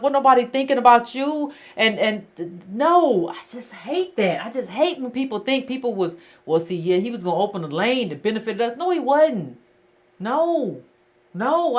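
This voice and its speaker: A woman hosting a call-in show, talking bluntly and emphatically and repeating her points to callers. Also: fairly high pitch. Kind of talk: casual call-in talk.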